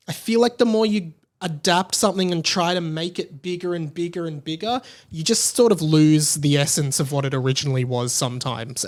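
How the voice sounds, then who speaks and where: A man with an Australian accent hosting a podcast alone, talking casually in a rambling, conversational way. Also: fairly high pitch. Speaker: podcast host. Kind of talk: casual solo podcast talk.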